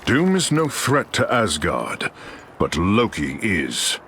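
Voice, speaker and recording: A man's voice: fairly low pitch, huge pitch swings, slow, fairly bright tone, slight background noise, exaggerated voice. A man playing a proud, noble warrior god of thunder in a video game, with stately, archaic, declamatory delivery. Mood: proud, resolute. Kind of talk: theatrical video game voice acting.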